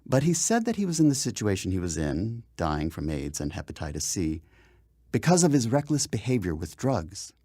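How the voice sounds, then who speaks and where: A man's voice: fairly low pitch, big pitch swings, moderate pace, dark tone, dry recording. A man reading his own memoir aloud as a dramatic first-person narration, with theatrical, emphatic phrasing, close on a studio microphone. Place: voiceover studio.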